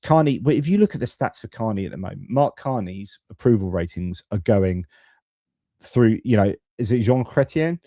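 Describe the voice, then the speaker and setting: British man, lowish pitch, soft mellow tone, informal opinionated commentary, chatty live stream host. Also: expressive, normal speed, no echo. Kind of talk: casual political commentary.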